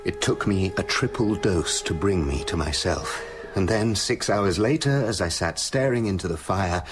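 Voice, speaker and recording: An actor with a British accent playing a tormented scientist with a sinister other self, speaking formally and intensely in a radio play. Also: male voice, low voice, natural voice. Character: tormented male scientist slipping into his menacing, sinister alter ego. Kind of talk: dramatic radio play acting, confessional monologue.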